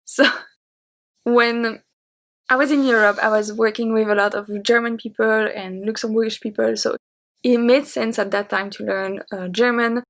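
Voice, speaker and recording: A woman's voice: a little high, steady tone, moderate pace, fairly bright tone. A woman in her thirties with a French accent, a French native speaker, answering viewer questions in a chatty, open way. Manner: chatty and open, with light laughs. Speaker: French teacher and YouTuber. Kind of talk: casual Q&A talking to camera.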